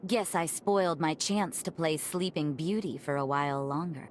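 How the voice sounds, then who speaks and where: A woman's voice: sits low, moderate pace, slight background noise, natural voice. A woman acting a powerful, imperious character in a video game cutscene, with a languid, dramatic delivery. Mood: playful nonchalance. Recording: loud music behind the voice.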